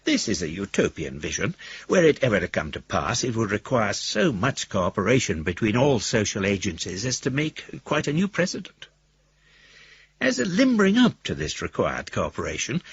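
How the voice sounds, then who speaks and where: An actor playing an eccentric older billionaire reciting his will in a wry, wordy, deliberate manner for a radio drama. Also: mid-range voice, slightly rough, heavily acted. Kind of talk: literary monologue in a radio drama. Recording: dry, slightly dull studio recording.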